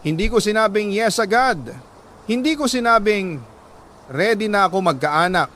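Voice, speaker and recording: A Filipino man reading a dramatic story in an earnest, emotive way with weighty pauses. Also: high voice, animated, sharp tone, a little hiss or hum. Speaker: storyteller reading a listener's letter. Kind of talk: dramatic scripted story narration.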